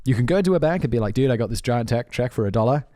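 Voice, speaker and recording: A man's voice: medium pitch, expressive, rapid-fire, mellow, no room sound. Casual chatty man, dry close sound. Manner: rambling, spells things out, says dude often. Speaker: podcast host. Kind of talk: casual chat.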